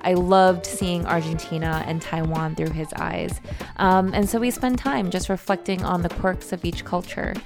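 A young adult woman hosts a casual podcast interview, curious and chatty with plenty of fillers. Her voice sits on the low side with a gravelly edge. Faint music plays softly behind her. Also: steady tone, moderate pace. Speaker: podcast host.

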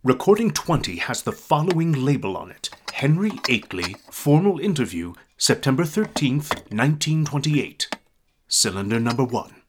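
An actor playing an archivist in a radio drama, making formal, precise announcements of catalogued recordings. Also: male voice, mid-range voice, big pitch swings, normal speed, lightly acted. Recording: clearly noisy recording with clicks.

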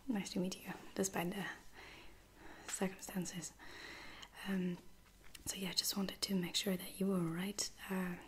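A woman playing a caring, apologetic space-soldier lieutenant in a whispered ASMR roleplay, speaking hesitantly and reassuringly in a breathy whisper. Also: fairly low pitch, little pitch movement, close to an everyday voice. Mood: gentle, hesitant. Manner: hesitant, gentle, reassuring, with soft-spoken pauses.

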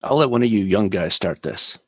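A man in his forties, a podcast guest, speaking in a relaxed, plainspoken way. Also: lowish voice, a little fast, husky, no room sound, studio quality. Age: 40s. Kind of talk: casual chat.

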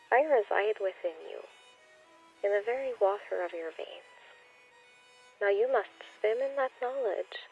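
A woman's voice: mid-range voice, creaky, muffled recording. An actress in a radio play playing an ancient foremother's spirit, speaking in a solemn, incantatory, reassuring way. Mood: tender, reassuring. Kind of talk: poetic radio play monologue. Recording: thin band-limited radio sound, music behind.

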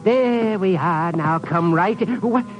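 Fussy nervous gentleman, high-pitched, flustered, polite, radio thriller, muffled vintage recording. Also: takes it slowly, loud music behind the voice. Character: fussy, nervous, apologetic male sidekick and professor. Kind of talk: 1950s radio drama acting.

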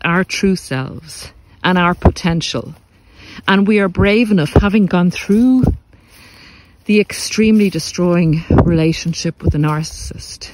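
A man giving a motivational spiritual talk as a podcast host, speaking earnestly and deliberately, with repeated phrases for emphasis. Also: fairly high pitch, darkish tone, slight background noise, poor audio. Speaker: podcast host, narcissistic abuse recovery coach.

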